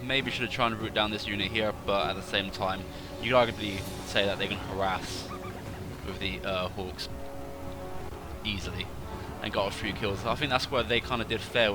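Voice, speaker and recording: A man with a slightly congested, stuffy voice giving casual replay commentary on a strategy game, rambling and correcting himself as he goes. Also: fairly low pitch. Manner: rambling, self-correcting, thinking aloud. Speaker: gaming channel host.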